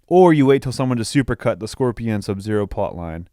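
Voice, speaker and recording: A man with a fairly low, clean voice talks as a podcast co-host in casual film-review chat. He is opinionated and swears a little. The sound is dry, with hardly any room in it. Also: expressive, fairly quick, mellow, dry recording. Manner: opinionated, mildly profane.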